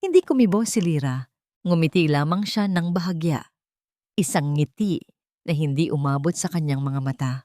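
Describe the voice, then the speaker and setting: A woman's voice: sits low, moderate pace, warm tone, no echo, clean studio sound. A woman reading scripted dramatic narration as a storyteller.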